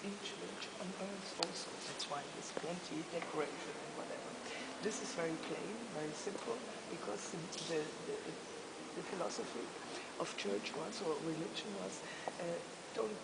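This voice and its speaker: 여자 가이드가 독일 억양의 영어로 성당 안을 안내하며, 목소리를 낮춰 조용히 말하고 자주 말을 멈추고 단어를 찾는다. 목소리는 거칠고 갈라진 음색이 섞여 있다. 녹음 전체에 시끄러운 잡음이 깔려 있다. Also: female voice, lowish voice, creaky, heavy background noise. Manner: hushed, keeps asking "right?", pauses to search for words. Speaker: tour guide. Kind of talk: guided church tour. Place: inside a large echoing church.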